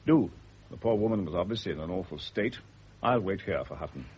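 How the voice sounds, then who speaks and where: An actor in a radio drama playing a grave, world-weary family doctor, measured, formal and authoritative. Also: male voice, low-pitched, animated, a little mellow, breathy edge. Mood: resigned. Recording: muffled, noisy old radio recording.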